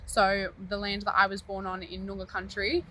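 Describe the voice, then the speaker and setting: A young Australian woman answering interview questions in a measured, patient way. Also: medium pitch, completely flat delivery, sharp tone, low-quality recording. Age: young adult.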